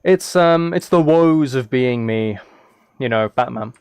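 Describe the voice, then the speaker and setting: A man chatting casually on a gaming livestream, rambling haltingly with playful, mock-serious dry humour. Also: mid-range voice, darkish tone, clean tone, no room sound. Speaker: live streamer.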